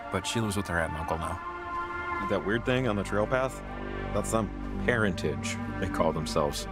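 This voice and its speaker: An actor playing an anxious, talkative man in a video game, speaking in a halting, exasperated, rambling way. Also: deep voice, close to an everyday voice. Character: anxious, hesitant, talkative local man named Jacob. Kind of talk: naturalistic video game dialogue.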